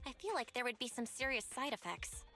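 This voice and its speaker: An actress playing a perky, inquisitive young woman in a video game, with an expressive, questioning manner. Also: on the high side, a little fast, faint music behind, full character voice. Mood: skeptical. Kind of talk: scripted video game voice acting.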